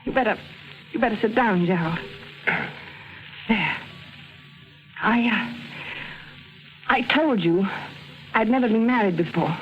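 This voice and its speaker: An actress playing a frightened wife stalling for time, in halting, theatrical old radio drama style. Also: female voice, medium pitch, soft background music, natural voice. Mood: halting, confessional. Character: frightened wife desperately stalling, feigning a murderous confession. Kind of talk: 1940s radio drama acting. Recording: dull, noisy vintage radio recording.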